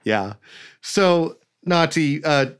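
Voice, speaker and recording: A man's voice: a little high, lively intonation, extremely slow, smooth. Enthusiastic man as podcast host on a video call. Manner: upbeat, enthusiastic, with fillers. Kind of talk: conversational interview.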